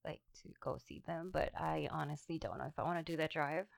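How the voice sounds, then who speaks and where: A woman co-hosting a casual podcast, relaxed and a little tired, often trailing off mid-thought. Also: sits low, a little fast, vocal fry, dry recording, clean studio sound. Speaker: podcast co-host. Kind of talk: casual chat.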